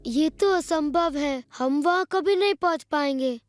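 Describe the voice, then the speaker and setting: A greedy little sidekick child in a kids' cartoon. The voice is extremely high, hesitant and whiny. Children's music jingles along behind it. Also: female voice, a little slow, dry recording, studio quality. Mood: worried. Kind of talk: Hindi children's cartoon acting.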